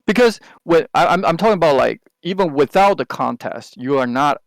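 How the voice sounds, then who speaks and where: Gravelly man. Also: a little high, lively intonation, fairly quick, dry recording. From Taiwan. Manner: rambling, with many restarts and hesitant fillers. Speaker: podcast co-host. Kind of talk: casual chat.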